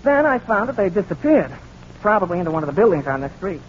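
A man's voice: sits high, sing-song, fast. An actor playing a boastful but awkward teenage boy, earnest and hesitant, in 1940s radio drama style. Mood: eager, self-important. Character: boastful awkward teenage boy, eager to impress, easily flustered. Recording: dull, noisy 1940s radio recording.

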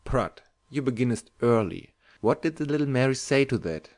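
A woman's voice: extremely low pitch, expressive, moderate pace, a little mellow, pitched below the performer's own voice. A woman voicing a kindly, teasing professor, a man with quaint, old-fashioned phrasing, in an audiobook. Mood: gently teasing. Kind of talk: audiobook character voice.

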